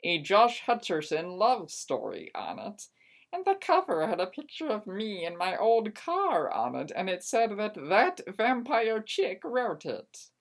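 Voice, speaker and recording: Woman, dramatic story narrator, theatrical, slow pace, lively swooping intonation. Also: medium pitch.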